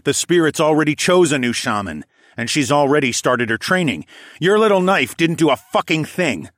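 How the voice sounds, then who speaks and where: A man's voice: medium pitch, animated, normal speed, a bit bright, no room sound, clean studio sound, voice pushed up. Guy as cocky dragon hero, growly n taunting, punchy delivery, lots of up and down, fantasy audiobook. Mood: mocking. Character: brash, foul-mouthed dragon hero, protective and mocking. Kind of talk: acted audiobook dialogue.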